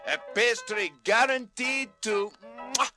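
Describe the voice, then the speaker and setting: A man's voice: very high voice, steady tone, takes it slowly. A man playing a vain, bumbling detective in a comic children's radio drama. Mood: proud, courtly. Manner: self-important, boastful, florid. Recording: 1980s LP record, music behind.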